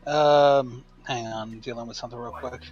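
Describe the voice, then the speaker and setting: Blunt, sweary voice of middling pitch over music. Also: steady tone, brisk, darkish tone, dull audio. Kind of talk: casual chat. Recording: music playing behind the voice.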